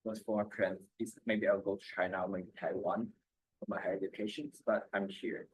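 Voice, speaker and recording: A man whose first language is Mandarin, speaking English on a student panel haltingly, with restarts and simple phrasing. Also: on the low side, level tone, husky, rough recording. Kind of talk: casual panel discussion.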